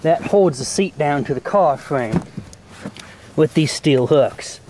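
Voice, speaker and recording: Folksy higher-pitched man, noisy recording. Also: lively intonation, takes it slowly, a little mellow. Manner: folksy, plain-spoken. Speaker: host of a hobby how-to video. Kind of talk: casual hands-on tutorial narration. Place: outdoors in and around a car.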